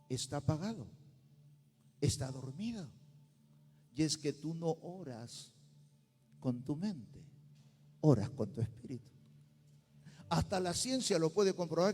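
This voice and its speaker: A man's voice: high voice, mellow, slightly rough, big-room sound. A male pastor with a Peruvian Spanish accent, preaching a sermon with rising intensity and bursts of speaking in tongues. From Peru. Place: church sanctuary.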